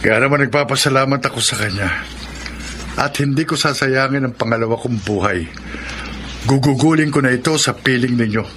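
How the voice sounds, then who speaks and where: An actor playing a devout, gentle, reassuring father in a Filipino radio drama. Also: mid-range voice, a little fast, noisy background, close to an everyday voice. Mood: grateful.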